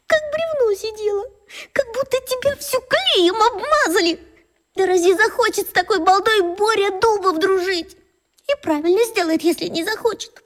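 A woman's voice: extremely high pitch, moderate pace. A woman playing an upset, ashamed fifth-grade schoolgirl who scolds herself in a childish, exclamatory way for a children's radio play. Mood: self-reproach.

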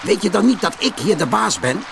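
Man as an imperious storybook cat, raised pitch. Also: sing-song, a little fast, gravelly, background noise, full character voice. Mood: threatening. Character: big threatening, bossy male cat.

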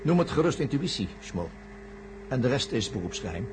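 A man's voice: mid-range voice, big pitch swings, normal speed, lightly acted. A man playing an insistent, confrontational psychiatrist in a science-fiction radio play, speaking formally and pointedly. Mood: probing, guarded. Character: insistent male psychiatrist demanding the hidden truth. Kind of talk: radio drama acting. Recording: noisy old radio recording with hum.

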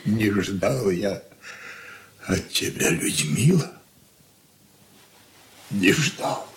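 A man's voice: on the low side, animated, drawn-out words. An actor playing a stern, heartbroken old merchant father in a Soviet radio drama. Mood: grieved, stern.

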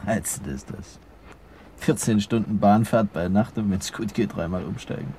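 An actor playing a tired, irritable husband in a German radio play, grumbling sarcastically over the rumble of a train. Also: male voice, moderate pace, warm tone, lightly acted. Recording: train rumble noise behind the voice. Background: moving train ambience.

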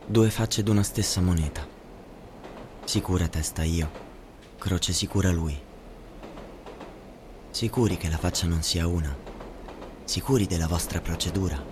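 An actor playing a grim, disillusioned student in a poetic radio monologue, with measured, incantatory phrasing, recorded over noisy ambience. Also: male voice, sits low. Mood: grim, questioning. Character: grim, accusing young man, disillusioned student.

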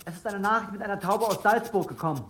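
An actor playing a meek young monk, hesitant and deferential, in a German radio drama. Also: male voice, sits high, fast, a bit bright, slight background noise. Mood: meek, apologetic. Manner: apologetic, hesitant.

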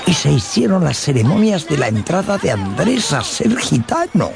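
A man narrating a dramatised audiobook in a theatrical, expressive way, with dramatic pauses and a gravelly edge. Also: fairly high pitch, music in the background.

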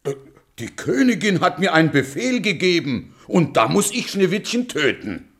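An actor playing a reluctant, obedient royal huntsman, soft-hearted and fearful, halting and solemn in a radio play. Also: a little high, sing-song, slightly rough, heavily acted. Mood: shaky, distressed. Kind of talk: German radio play, theatrical.

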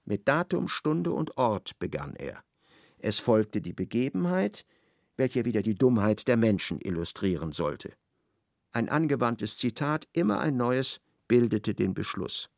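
A man giving measured, ironic literary narration in a radio play. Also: mid-range voice, big pitch swings, creaky, a little mellow, no echo, studio quality.

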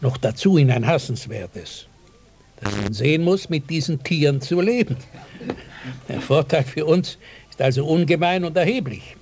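An actor playing an elderly village schoolmaster in a radio drama, speaking in a wordy, oratorical and dryly ironic way. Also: male voice, fairly high pitch, expressive, a little mellow, faint music behind. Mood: ironic, lofty. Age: older. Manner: measured, oratorical, dryly sardonic. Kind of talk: German radio drama acting.

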